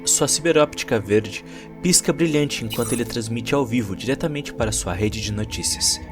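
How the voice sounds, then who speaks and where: A man narrates a cyberpunk audio story in a dramatic, scripted style. His voice sits at a medium pitch, with clear music running behind it.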